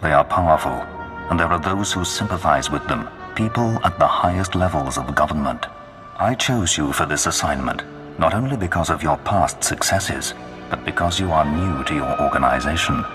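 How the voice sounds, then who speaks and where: An actor voicing a menacing Sith lord in a video game, speaking in a grandiose, commanding way. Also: male voice, very deep voice, lively intonation, moderate pace, music in the background. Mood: imperious. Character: menacing male Sith lord of a dark empire. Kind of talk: video game villain acting. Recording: long cavernous reverb.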